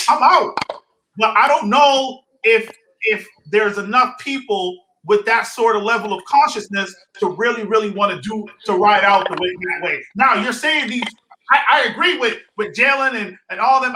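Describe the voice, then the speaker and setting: A man's voice: sits high, moderate pace, sharp tone. A man from Barbados arguing his point in a casual talk, stammering and emphatic. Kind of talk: casual debate. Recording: echoey room with faint noise.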